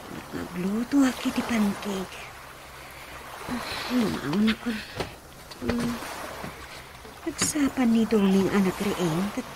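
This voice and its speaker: An actress playing a dutiful young adult daughter in a radio drama, speaking with an Ilocano accent and a fussing, attentive manner. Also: female voice, mid-range voice, background noise. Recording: music bed under the dialogue. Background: music.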